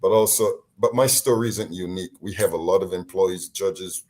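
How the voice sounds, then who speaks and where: A man's voice: sits low, normal speed. A man from Barbados, a deputy court commissioner, speaking earnestly over a remote call, often restarting his sentences. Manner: earnest, animated, frequent restarts. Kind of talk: casual interview on a call. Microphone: remote call line.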